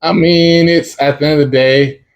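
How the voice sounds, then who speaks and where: A man's voice: a little high, fairly even intonation, a little hiss or hum. A young man, a college football kicker from Dallas whose first language is Spanish, answering interview questions casually and searching for words. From Dallas, Texas. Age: young adult. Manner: halting, searching for words with many fillers.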